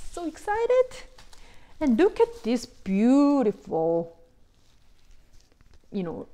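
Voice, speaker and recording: A middle-aged woman, not a native English speaker, excitedly chatting to viewers about bargain clothes in simple English with many "you know"s. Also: mid-range voice. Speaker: vlogger showing a shopping haul. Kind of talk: casual chat to viewers.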